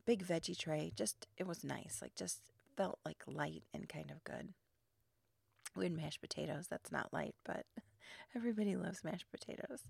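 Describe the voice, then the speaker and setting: A woman in her grandmother years hosts her podcast alone at dawn, her voice heavily gravelly and froggy as if not yet awake. She sits on the lower side of pitch and rambles casually, filler words between thoughts, some phrases fading into a breathy near-whisper. The sound is dry and close, with no room around it. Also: a little mellow, no room sound. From Chicago suburbs. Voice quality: froggy morning voice. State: not fully awake, early morning. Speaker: podcast host. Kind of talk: casual solo podcast monologue.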